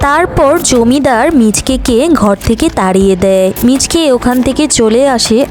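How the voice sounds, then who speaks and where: A woman's voice: a little high, moderate pace, a little mellow, faint music behind. A woman narrating a scripted children's cartoon story.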